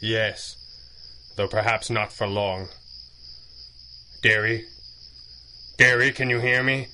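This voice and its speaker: Masked crime-fighter for period radio serial: crisp, commanding, bright forceful tone, dryly teasing, faint background noise. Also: male voice, on the low side, steady tone, takes it slowly, full character voice. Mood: grave. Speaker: voice actor in a radio drama. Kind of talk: 1930s-style adventure radio acting.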